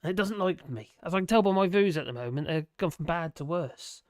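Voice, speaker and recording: A hoarse live streamer, rambling in casual chat to viewers and making fun of themselves. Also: medium pitch, animated, a little fast, dry recording, clean studio sound. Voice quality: rambling, self-deprecating.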